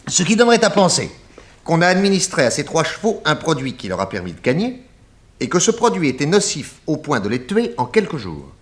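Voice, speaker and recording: An actor playing a genial, self-assured private detective of 1910 in a French radio drama, urbane and expansive. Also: male voice, a little high, wildly swooping pitch, a little fast. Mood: thoughtful, interested.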